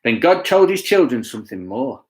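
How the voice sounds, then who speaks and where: A man reading a children's story aloud with chatty asides, playful and expressive, often putting questions to his listeners. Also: medium pitch, normal speed, rough recording. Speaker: children's bedtime story reader.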